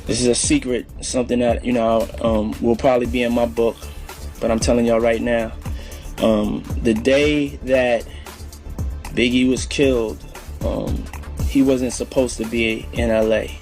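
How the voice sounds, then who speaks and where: A man's voice: medium pitch, level tone, measured pace, a little mellow, soft background music. A man talking informally and confidingly, hesitating often as he goes. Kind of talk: informal confessional talk.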